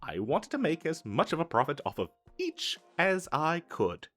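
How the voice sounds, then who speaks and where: A man's voice: fairly high pitch, wildly swooping pitch, moderate pace, a bit bright, full character voice. A man voicing an eager, boastful wizard salesman in a comedic fantasy audio roleplay, with theatrical emphasis and a stammering, hard-selling manner. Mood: exasperated. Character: eager, boastful male wizard salesman hawking magical wares. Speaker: street vendor character in an audio roleplay.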